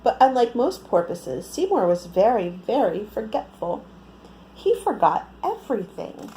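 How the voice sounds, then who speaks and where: Casting: female children's storyteller, picture-book read-aloud, highly melodic delivery, mellow tone, faint noise behind. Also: unhurried, low-quality recording. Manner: expressive, asks the listeners questions.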